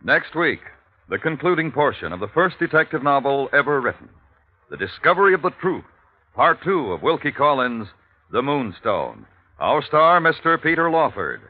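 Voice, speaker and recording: A man's voice: on the high side, sing-song, moderate pace. A man with an American accent making dramatic, formal announcements in the style of 1950s radio. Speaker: program announcer. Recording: tinny vintage radio, loud orchestral music behind.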